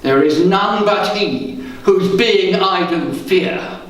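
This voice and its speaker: A man performs as a guilty, scheming king in a Shakespearean tragedy on stage. His voice sits high and he declaims slowly, with bitter, brooding weight. The sound is distant, swimming in heavy room echo. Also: a little hiss or hum. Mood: brooding, fearful. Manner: slow, declamatory, theatrical. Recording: echoey room, distant microphone.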